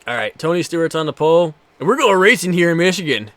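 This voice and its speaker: A man's voice: a little high, lively intonation, quick pace, a bit bright, dry recording. Foul-mouthed young man streaming a game. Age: twenties. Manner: foul-mouthed, jokey banter with viewers. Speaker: streamer playing a racing game. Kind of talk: casual live gaming commentary.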